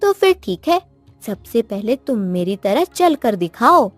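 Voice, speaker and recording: A woman's voice: a little high, sing-song, unhurried, no echo. A woman voicing a clever, bold young wife in a cartoon moral tale, speaking in a taunting, coaxing manner. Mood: sly. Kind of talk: cartoon moral story acting. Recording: music behind the voice.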